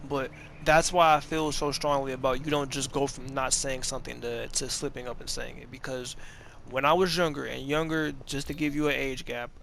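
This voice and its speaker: A man's voice: medium pitch, flat delivery, moderate pace, creaky, fairly bright tone, slight background noise. A man of about twenty talking casually and profanely over gameplay, rambling with many restarts and slang. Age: 20s. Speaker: gaming video creator.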